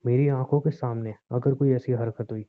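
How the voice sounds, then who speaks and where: A man's voice: mid-range voice, fairly even intonation, moderate pace, natural voice. Man acting bitter husband on a tinny phone line. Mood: threatening, goading. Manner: taunting, domineering, sarcastic. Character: bitter, threatening husband in a forced marriage. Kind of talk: improvised domestic argument drama. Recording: thin, muffled phone-call recording.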